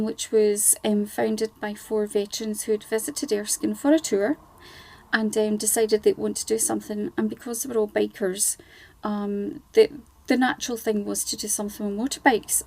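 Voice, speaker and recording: A woman's voice: medium pitch, gravelly, darkish tone, dry recording. A Scottish woman in her forties, a charity volunteer chatting as a guest on a radio interview, with many ums and restarts. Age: 40s.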